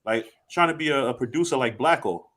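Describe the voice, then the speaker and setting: A man's voice: medium pitch, brisk, a bit bright, a little hiss or hum. A man in his late thirties, co-hosting a podcast, talking in a rambling, reflective way with lots of restarts. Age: 30s. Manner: rambling, self-reflective, many restarts and "you know". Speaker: podcast co-host. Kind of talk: casual podcast chat.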